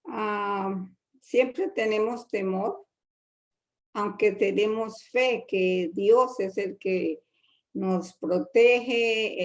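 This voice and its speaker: A woman with a Colombian accent giving a devotional talk and prayer, hesitant and humble, with many fillers. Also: mid-range voice. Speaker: guest speaker in a women's Bible group.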